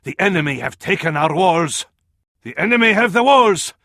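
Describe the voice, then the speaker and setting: An actor playing a devout, grandiose advisor to a sultan in a video game, with an Arabic accent and flowery, dramatic delivery. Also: male voice, high voice, expressive, moderate pace, bright, forceful tone, no room sound, clean studio sound, full character voice. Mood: urgent alarm. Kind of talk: theatrical video game voice acting.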